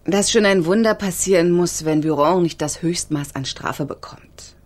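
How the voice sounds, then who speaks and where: A woman's voice: lowish voice, moderate pace, a little mellow, lightly acted. A woman acting a lawyer's loyal, indignant confidante in a radio crime drama, speaking with earnest emphasis. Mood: indignant, concerned.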